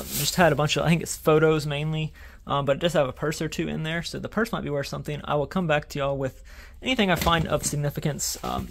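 A man rambles through a casual vlog inside a storage unit, stopping and restarting often. His voice is fairly high and gravelly. A noisy phone recording with clear background noise. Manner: rambling, thinking aloud with many fillers and restarts. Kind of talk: casual vlog narration while sorting items. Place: indoor self-storage unit.

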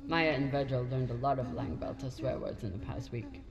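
Low-voiced, guarded spaceship captain. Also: female voice, expressive, a little fast, big-room sound, dull audio. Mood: reflective. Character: guarded, hard-edged female spaceship captain. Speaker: game protagonist. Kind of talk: video game voice acting.

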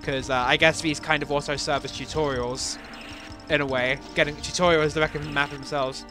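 A man's voice: a little high, little pitch movement, fairly quick, over music. A young man casually commenting on his own game levels as he plays, rambling and self-deprecating, with lots of fillers and restarts.

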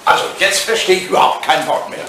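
An ageing aristocrat, with a high, bright voice and a digressive, hesitant way of talking. He is fussy and vain in old radio-comedy style, recorded in a roomy space with light noise. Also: quick pace. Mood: puzzled, defensive. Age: older. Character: fussy, ageing English lord, wry and vain. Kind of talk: retro radio comedy-drama acting. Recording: roomy, noisy vintage radio play recording.

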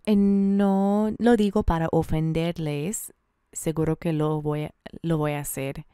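A woman's voice: low voice, fairly even intonation, a little slow, mellow, smooth, dry recording, clean studio sound. A woman in her sixties from Colorado speaking on a panel, blunt and emphatic, with rising rhetorical questions, into a handheld mic in a conference room. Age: 60s. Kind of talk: public panel talk. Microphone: handheld microphone.

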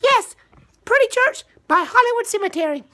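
A tiny, happy alien toy, squeaks out an excited sales pitch in a very high voice. It sounds bright and sharp, like a homemade puppet show with some room noise. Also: male voice, moderate pace, exaggerated voice. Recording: faint room noise, home phone video.

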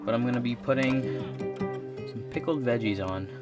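A man in his thirties chatting casually to the camera while camping alone, relaxed and talkative. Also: mid-range voice, normal speed, over music. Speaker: vlogger. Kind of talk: casual solo camping vlog. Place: inside a small truck camper.

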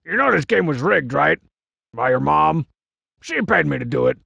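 An actor playing a cocky, scheming cartoon masked wrestler in a video game, boastful and mocking, with a gravelly, raspy voice. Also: male voice, fairly high pitch, sing-song, moderate pace, bright, forceful tone, no room sound, lo-fi sound, exaggerated voice. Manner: boastful, mocking, swaggering wisecracks. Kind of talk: video game character barks.

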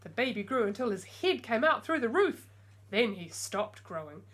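Lively, bright-voiced woman reading a storybook. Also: on the high side, moderate pace, husky. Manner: expressive, talks directly to young listeners. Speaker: storytime reader. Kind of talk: animated picture-book read-aloud with chatty asides. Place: small room at home with bookshelves.

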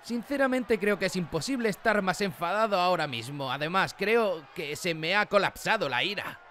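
A woman's voice: fairly low pitch, animated, moderate pace, fairly bright tone, a little hiss or hum. A woman with a Spain accent voicing an exasperated, sensible young male mayor in a cartoon parody. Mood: weary, reasoning. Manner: incredulous, exasperated, colloquial. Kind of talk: animated parody fandub acting.

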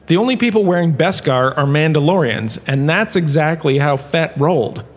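In long hall reverb, a man acts out a vain professor of galactic history in a comic audio lecture. The voice sits a little high and swings widely in pitch, with showy, pompous delivery. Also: lively intonation, moderate pace. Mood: lecturing.

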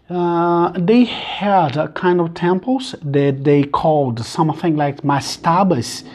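Slow, mellow Portuguese-accented male teacher. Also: high-pitched. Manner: halting, searches for words, counts aloud slowly. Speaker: math and physics teacher. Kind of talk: screen-recorded math lesson.

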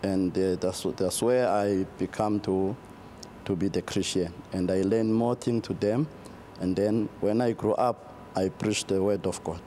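A middle-aged South African man, a pastor, answering interview questions slowly with many fillers and restarts, recorded in a TV studio on a lapel mic. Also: sits low, steady tone, warm tone, slight background noise.

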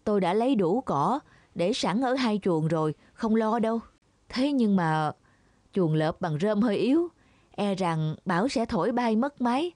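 A woman voicing a practical, anxious farming villager in a children's animated fable. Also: medium pitch, normal speed, dry recording, lightly acted. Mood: worried, urgent. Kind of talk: children's cartoon fable dubbing.

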